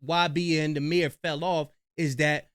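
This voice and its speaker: A man doing reaction commentary in a slangy, animated way, talking straight to his viewers. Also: a little high, a little slow, smooth, dry recording, studio quality.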